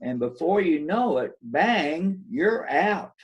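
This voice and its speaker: Folksy country lawman man acting, slightly high-pitched, plain-talking, readers theater mystery. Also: big pitch swings, slow pace, dull audio. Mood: folksy, skeptical. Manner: plainspoken, countrified turns of phrase. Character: folksy male small-town lawman making an arrest.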